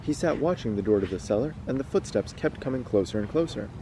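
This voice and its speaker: A man's voice: mid-range voice, lively intonation, fast, background noise. A man hosting a casual read-aloud, moving between storytelling and chat. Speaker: host reading stories aloud.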